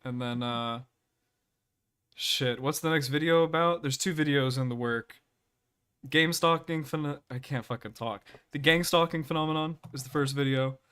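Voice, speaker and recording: A man's voice: on the high side, moderate pace, bright, forceful tone, clear, resonant tone. A groggy man on an online panel who talks in a hesitant, rambling way with lots of fillers. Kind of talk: casual online panel chat.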